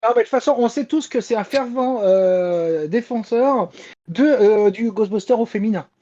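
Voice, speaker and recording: A man's voice: high-pitched, moderate pace, warm tone. A man talking as a panelist in a casual group chat. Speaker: show panelist. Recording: dull, lo-fi sound.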